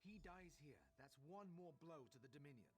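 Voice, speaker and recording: An actor playing a grim, battle-weary army commander in a video game, blunt and urgent. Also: male voice, on the high side, lively intonation, a little fast, lightly acted. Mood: brisk, pressing. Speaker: game character, military commander.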